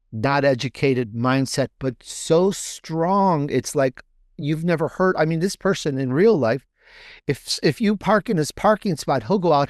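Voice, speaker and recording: A man's voice: medium pitch, a little mellow, no echo. Man chatting enthusiastically, dry close sound. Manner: enthusiastic, emphatic, repeats phrases for emphasis. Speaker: guest author and podcaster. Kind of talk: casual interview conversation.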